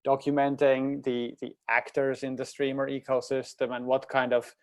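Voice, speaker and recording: A man of average pitch talks in a casual way about technical teamwork. He is reflective, feeling his way toward the right words as he speaks. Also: monotone, normal speed, smooth. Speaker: project team member in a panel discussion.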